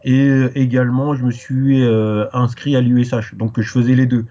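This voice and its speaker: A French man talking through his story in an interview, slow to find his words and filling the gaps with pauses. His voice is dark and mellow, and the muffled, lo-fi recording dulls it further. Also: medium pitch, flat delivery. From France. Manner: hesitant, many pauses and fillers. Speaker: interview guest. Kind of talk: casual interview.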